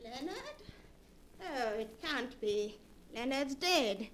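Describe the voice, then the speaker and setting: An actress playing a bitter older aunt who claims to speak with the dead, in a cold, hushed, ominous way, in a 1940s radio drama. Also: female voice, high voice, lively intonation, takes it slowly, exaggerated voice. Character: bitter old aunt who talks to ghosts. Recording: old radio broadcast recording.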